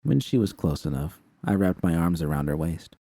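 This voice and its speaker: A man reads a first-person story with steady, even pacing. His voice is deep and mellow, recorded close and dry with no room sound. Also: moderate pace, vocal fry, no echo. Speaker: audiobook reader. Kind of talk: first-person fanfiction narration.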